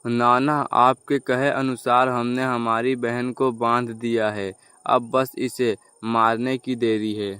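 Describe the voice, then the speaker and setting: Man playing a blunt, unhurried young crow. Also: mid-range voice, level tone, bright, forceful tone, smooth, no room sound. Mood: obedient. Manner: blunt, commanding. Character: hungry, gullible young crow, bossy eldest brother. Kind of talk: Hindi children's cartoon dialogue.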